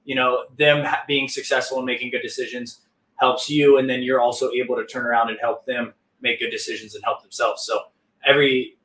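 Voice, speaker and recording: A man's voice: medium pitch, pushed and bright. Casual man hosting, roomy distant sound. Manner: informal, chatty. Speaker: podcast host. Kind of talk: casual interview chat.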